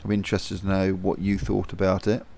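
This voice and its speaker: A man narrating a casual travel vlog, chatty and relaxed, thinking aloud as he films outdoors by a harbour. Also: low-pitched, a little fast, vocal fry. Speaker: vlogger.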